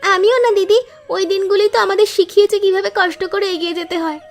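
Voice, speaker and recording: Cartoon flower girl woman acting: extremely high voice, earnest, grateful, respectful, soft music underneath. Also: fairly even intonation, fast talker. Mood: hopeful, proud. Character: two hardworking young flower-seller sisters, earnest and grateful. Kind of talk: cartoon moral story voice acting.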